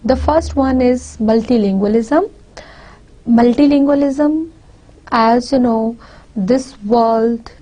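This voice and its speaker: A woman from Pakistan with a Pakistani accent, a native Punjabi speaker, giving a university lecture deliberately, often repeating and restarting phrases. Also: on the high side, mellow, rough recording. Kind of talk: recorded lecture.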